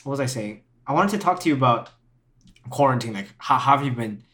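Chatty young man, quick restarts. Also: mid-range voice, animated, a bit bright. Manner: laughs often, repeats words, restarts. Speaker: podcast host. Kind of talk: casual chat.

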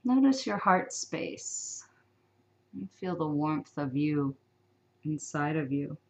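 A woman leading a guided sleep meditation, giving soft, soothing instructions with long pauses. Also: deep voice, sing-song.

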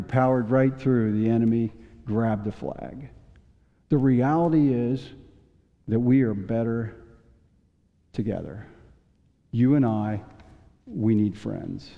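A male pastor preaching a sermon in a folksy storytelling manner, stumbling into restarts and chuckling now and then, with a voice he says is going hoarse. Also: mid-range voice, mellow. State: losing his voice. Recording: dull long church reverb.

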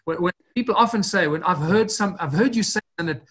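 Male guest, earnest and expansive talker, pitched a bit high, repeats words as he builds his point. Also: fairly quick, fairly bright tone, slightly rough. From Southern Africa. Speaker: interview guest, researcher of ancient ruins. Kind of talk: long-form podcast interview.

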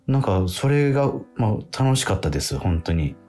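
A man's voice: fairly low pitch, big pitch swings, normal speed, dark tone, soft background music. A man hosting a radio show in casual chat, with frequent backchannels and chuckling asides.

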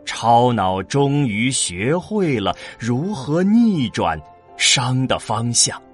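Slow solemn narrator over music. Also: male voice, mid-range voice. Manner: solemn, measured. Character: solemn storyteller of a cosmic tale. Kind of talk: slow dramatic sci-fi audio drama narration. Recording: music behind the voice.

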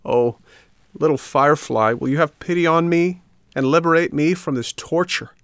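A man's voice: lowish voice, takes it slowly, vocal fry, a bit bright, no echo, clean studio sound, exaggerated voice. A man voicing a tearful, remorseful boy puppet in a storybook, with a plaintive, pleading delivery.

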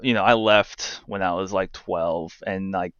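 Low, smooth-voiced man, wry and rambling. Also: steady tone, a little slow, fairly bright tone, dry recording, highs cut off. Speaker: guest, games news editor. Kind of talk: casual conversational interview.

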